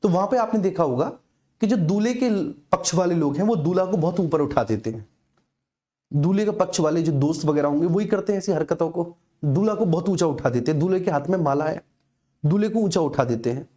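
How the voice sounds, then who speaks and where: A man's voice: a little high, animated, fairly quick, mellow. A man teaching an exam coaching class informally, explaining repetitively and addressing his students directly.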